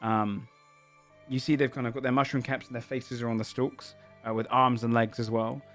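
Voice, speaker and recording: A man's voice: medium pitch, little pitch movement, moderate pace, a bit bright, faint music behind. A man narrating a tabletop game as game master, describing the scene in a searching, descriptive way.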